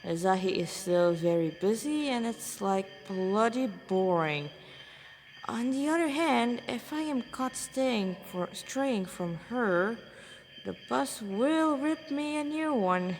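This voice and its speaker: A woman's voice: a little high, animated, slow, slight background noise. A cheeky young male cadet, as a woman reader puts him on in a read-aloud story. He is slangy, restless and teasing. The sound carries a long room reverb. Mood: bored, musing.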